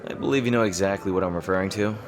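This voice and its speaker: A man playing a teasing rival king in an intimate dramatic roleplay, halting and smug yet easily flustered. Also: low-pitched, over music, natural voice. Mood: earnest, guarded. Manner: halting, teasing, with soft chuckles and pauses.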